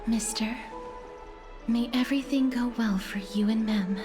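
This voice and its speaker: A woman playing a gentle, polite, reserved young woman with a soft, hesitant manner in fantasy game dialogue. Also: on the high side, fairly even intonation, a little slow, music in the background, close to an everyday voice. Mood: gentle reserve.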